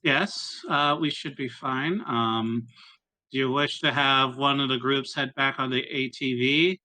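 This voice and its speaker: A man with a bright, tense voice at medium pitch plays a tabletop game. He talks casually in character, bluntly but with many thinking pauses. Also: steady tone, low-quality recording.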